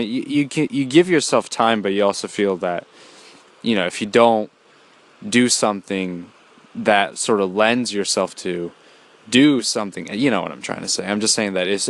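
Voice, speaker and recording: A young man hosting a solo podcast, talking in a rambling casual way with many fillers and restarts, a bit congested from a cold. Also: fairly low pitch. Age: young adult. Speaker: podcast host.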